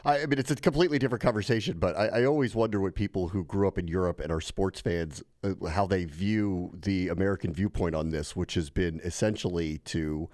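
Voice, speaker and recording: A man's voice: low-pitched, fairly quick, hardly any pauses, darkish tone. A man hosting a podcast, introducing guests and reading sponsor spots in an upbeat way. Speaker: podcast host.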